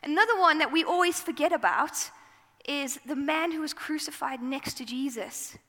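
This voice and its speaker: A woman with a South African accent preaching a sermon into a microphone, telling the story in a relaxed, conversational way. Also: high voice, moderate pace, big-room sound.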